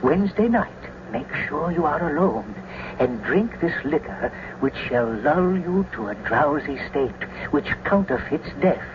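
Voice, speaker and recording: Earnest, fatherly friar, higher-voiced. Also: sing-song, a little slow. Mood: urgent, reassuring. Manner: urgent, declamatory. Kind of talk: classical tragedy radio acting. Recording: muffled, noisy old radio recording.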